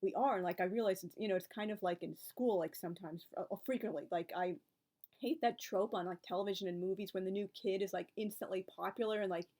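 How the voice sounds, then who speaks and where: A chatty woman in her forties, speaking at a middle pitch that is neither high nor low for a woman. She wanders from point to point in a loose vlog-style monologue and keeps adding asides. A wry, weary edge comes into her voice when she talks about online advice. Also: fast, warm tone. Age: 40s. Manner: chatty and rambling, piling up asides. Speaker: book and author video creator. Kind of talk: casual reflective vlog talk.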